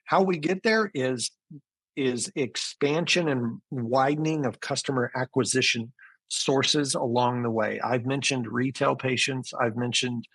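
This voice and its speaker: A man's voice: medium pitch, a little slow, a bit bright, slightly rough, no echo, rough recording. A man giving explanatory, conversational answers as an interview guest, often restarting his phrases. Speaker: interview guest, physician and business founder.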